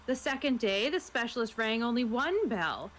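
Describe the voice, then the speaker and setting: A woman's voice: a little high, big pitch swings, normal speed, fairly bright tone, a little hiss or hum. A woman playing in a tabletop role-playing game, talking casually with the other players. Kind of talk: casual chat over an online game.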